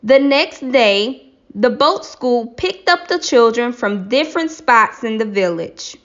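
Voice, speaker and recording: High-pitched, animated woman reading slowly. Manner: slow, careful, instructive enunciation. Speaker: teacher reading a script aloud for students. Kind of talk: expressive read-aloud of a classroom script. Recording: slightly dull lo-fi recording.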